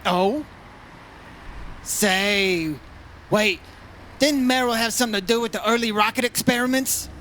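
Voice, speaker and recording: A man's voice: extremely high pitch, unhurried, pauses often, pushed and bright, a little hiss or hum, heavily acted. A man playing a wry, teasing insurance investigator in an old-time radio detective play. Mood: probing, businesslike. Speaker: lead actor in a radio play.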